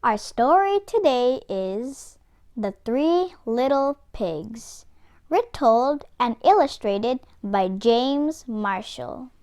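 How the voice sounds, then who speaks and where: A woman reading a children's story aloud with expressive, deliberate narration. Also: a little high. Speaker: read-aloud host, storyteller.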